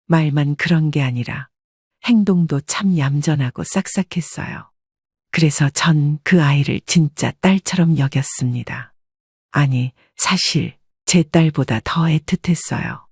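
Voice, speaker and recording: Husky older woman narrating a life story. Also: sits low, a little slow, darkish tone, no room sound, studio quality. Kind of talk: first-person confessional scripted narration.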